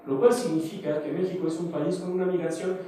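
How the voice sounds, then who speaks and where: A man's voice: high voice, steady tone, fast, dark tone. Foreign-born man giving a conference talk, lots of ehs and restarts, distant mic, super echoey hall. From foreign, living in Mexico. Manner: hesitant, with many fillers, restarts and a rhetorical "¿no?". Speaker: theatre maker and invited speaker. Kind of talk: speech at a conference opening. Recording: echoey hall, voice from far off, faint noise.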